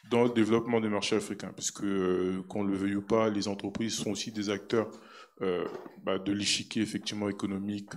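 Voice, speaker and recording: A man in his fifties asking a question from the floor at a conference, halting and full of fillers. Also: fairly low pitch, slight background noise. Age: 50s. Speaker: audience member asking a question.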